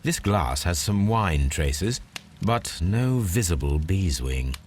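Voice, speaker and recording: Victorian English detective, game. Low register with expressive swings, composed, exact, quietly deductive. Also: male voice, background noise. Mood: musing. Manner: deliberate, precise, musing. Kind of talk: video game voice acting.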